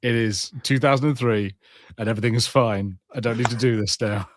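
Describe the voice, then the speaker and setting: A man's voice: medium pitch, fairly quick, slight background noise. A man in his thirties co-hosting a podcast, chatting casually in a hesitant, stop-start way. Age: 30s. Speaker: podcast co-host.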